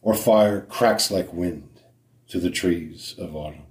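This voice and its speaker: A man reciting a poem solemnly as a poet, in measured phrases with dramatic pauses. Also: deep voice, reverberant.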